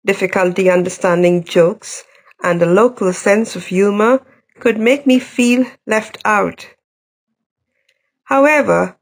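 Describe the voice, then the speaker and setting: A middle-aged woman psychiatrist from India with a Welsh Indian accent, reading her personal story in a measured, even way. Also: mid-range voice, vocal fry.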